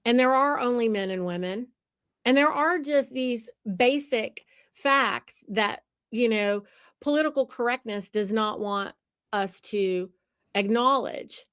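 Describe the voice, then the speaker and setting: A woman's voice: fairly high pitch, slow, fairly bright tone, clear, resonant tone, no echo, studio quality. A woman hosting a podcast conversation, talking in an emphatic, lecturing way. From United States. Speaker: podcast host.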